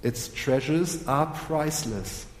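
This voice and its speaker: A hoarse male preacher delivers a sermon at a slow, deliberate pace, pausing to clear his throat. His voice rings through a roomy hall with a long echo and a faint hum behind it. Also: medium pitch. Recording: echoey hall with long reverb.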